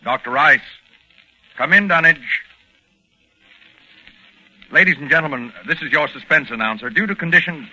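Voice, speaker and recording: A polished male announcer in formal 1940s radio style. His voice is a little high and very sharp, cutting through a vintage broadcast recording. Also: normal speed, pauses often, rough recording. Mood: controlled urgency.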